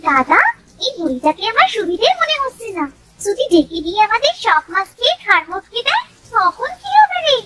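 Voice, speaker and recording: A woman voicing a timid, chattering little village girl with a fretful, excitable manner in a children's cartoon. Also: very high-pitched, fairly quick, heavily acted. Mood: frightened.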